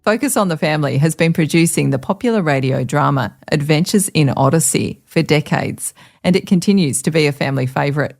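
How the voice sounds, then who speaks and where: Low, creaky Australian woman presenter. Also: brisk, darkish tone, soft background music. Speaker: podcast presenter. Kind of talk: scripted presenting.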